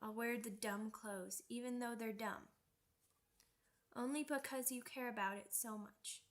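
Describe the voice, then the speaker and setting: A woman voicing an excitable, stubborn young werewolf pup in an ASMR roleplay, with childish, halting, repetitive speech. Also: a little high. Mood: grudging, pouty. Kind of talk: ASMR character roleplay audio drama.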